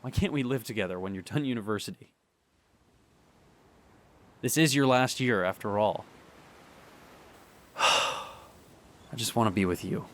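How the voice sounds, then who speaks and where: A young man playing a heartbroken boyfriend in an audio drama monologue, speaking earnestly and haltingly. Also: on the low side, a bit bright. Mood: bitter, longing. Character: heartbroken young boyfriend pleading with his distant partner.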